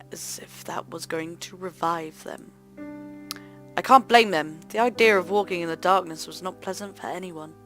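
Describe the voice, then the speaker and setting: A woman hosting a let's play, reading visual novel narration aloud in an expressive, theatrical way. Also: fairly low pitch. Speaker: host of a gaming let's play channel.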